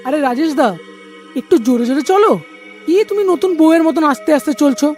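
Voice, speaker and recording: A jittery young village man in a cartoon, as a woman puts him on, his voice high and hurried. He pleads and frets about what happened in the night. Faint music runs underneath. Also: natural voice. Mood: nervous.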